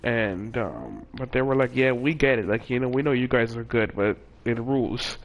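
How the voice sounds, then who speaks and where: A man's voice: medium pitch, lively intonation, moderate pace, lo-fi sound. A young man casually telling a personal story in a rambling way, with many fillers and restarts. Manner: rambling, with frequent fillers and self-corrections. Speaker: vlogger.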